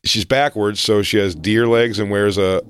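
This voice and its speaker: A man's voice: on the low side, steady tone, normal speed, a little hiss or hum. A man co-hosting a podcast, rambling wryly through stories and laughing at his own jokes. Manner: rambling, wry, trails off and laughs. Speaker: podcast co-host. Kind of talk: casual comedic chat.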